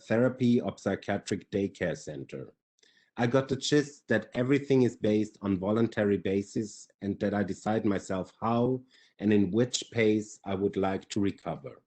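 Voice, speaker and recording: A man's voice: lowish voice, mellow. A man in his forties with a Finnish accent, reading prepared remarks as a panelist on a video call. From Finland. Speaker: webinar panelist.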